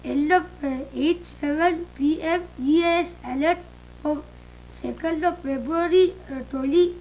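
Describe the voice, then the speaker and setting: A woman reading an amateur emergency announcement in a halting, hesitant way. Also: high voice, a little mellow, a little hiss or hum, low-quality recording. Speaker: announcer in a fictional emergency broadcast. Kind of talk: amateur scripted public announcement.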